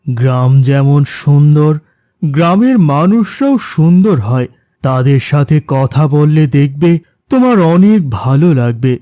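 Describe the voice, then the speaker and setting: Mellow, gentle cartoon father acted by a man. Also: fairly high pitch, sing-song, unhurried, no room sound, studio quality. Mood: warm, encouraging. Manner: gentle, patient, reassuring. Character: gentle, reassuring middle-aged village-born father. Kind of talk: cartoon character dialogue.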